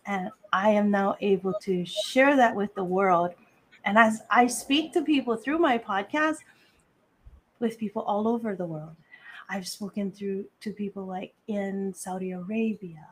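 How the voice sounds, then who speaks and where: Host, woman from Hawaii, slow and deliberate interview delivery. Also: mid-range voice, a little hiss or hum, rough recording. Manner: measured, deliberate delivery with a scripted-sounding welcome. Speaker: podcast host. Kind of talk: live podcast interview hosting.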